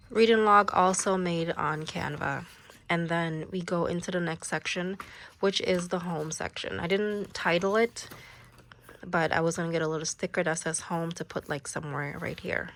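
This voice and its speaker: A young woman with a slightly low voice and a stuffy, nasal sound from a cold talks through her planner pages in a relaxed, chatty way. She drops in the odd "like" and "um" as she goes. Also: gravelly, a little hiss or hum. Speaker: planner vlogger. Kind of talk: casual show-and-tell planner walkthrough.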